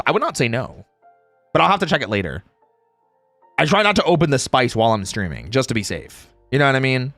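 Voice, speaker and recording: A man's voice: medium pitch, a bit bright, no echo. A man in a playful, casual livestream chat, reading out viewer comments and replying in slangy speech. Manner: playful, reads chat aloud and replies.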